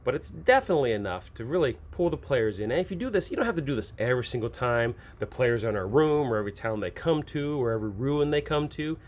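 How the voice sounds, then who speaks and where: A man's voice: mid-range voice, very animated, fast talker, hardly any pauses. A man hosting an advice show, talking through tips and examples in an informal, rambling, conversational way. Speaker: host of a tabletop game-mastering advice show. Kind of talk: conversational instructional talk, explaining with examples. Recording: clearly audible background noise.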